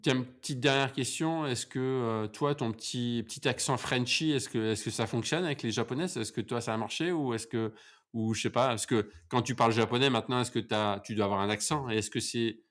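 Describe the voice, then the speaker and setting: A male podcast host who interviews a guest in a relaxed, chatty way, with many fillers and repeated words. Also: medium pitch, brisk. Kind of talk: casual interview, then a scripted outro.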